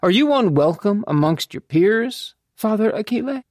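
Man voicing a flippant, drawling young man, lifted pitch. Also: lively intonation, normal speed, darkish tone, no echo, clean studio sound. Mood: smug, provoking. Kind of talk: audiobook character dialogue.

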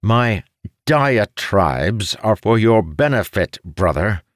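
A man's voice: fairly low pitch, animated, takes it slowly, no echo, studio quality, pitched above the performer's own voice. A man voicing a stern, self-righteous elder brother in an audiobook, harsh and preachy. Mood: disgusted, scolding. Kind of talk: audiobook character voice.

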